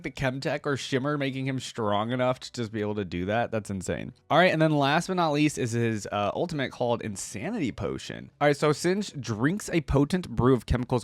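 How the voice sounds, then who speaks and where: Male reaction host, mid-pitched, informal and chatty commentary, dry studio-tight sound. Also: no echo. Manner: informal, chatty, with exclamations and questions. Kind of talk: casual reaction commentary with some reading aloud.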